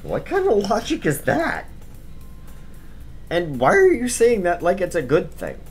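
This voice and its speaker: A man's voice: sits high, huge pitch swings, unhurried, vocal fry, a little mellow, faint music behind, voice pushed up, heavily acted. A man voicing an exasperated, easily flustered teenage boy who scolds and stammers in a visual novel. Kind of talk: visual novel read-aloud.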